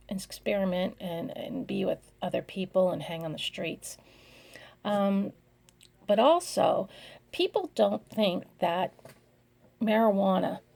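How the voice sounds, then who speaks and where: A middle-aged woman giving a slide presentation in an earnest, halting way, speaking in short phrases. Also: medium pitch, creaky, darkish tone.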